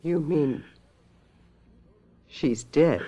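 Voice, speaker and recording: An actress playing a knowing, sardonic sister-in-law in a radio mystery drama, arch and cutting. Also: female voice, low voice, extremely slow, warm tone, highs cut off, exaggerated voice. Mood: alarmed, grave.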